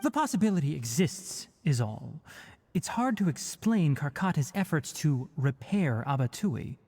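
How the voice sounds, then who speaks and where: A man's voice: on the high side, big pitch swings, unhurried, a little mellow, breathy edge, full character voice. A man voicing a youthful forest watcher and scholar in an anime game, precise, dry and slightly testy, strict but caring. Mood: detached, reasoning. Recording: music behind the voice.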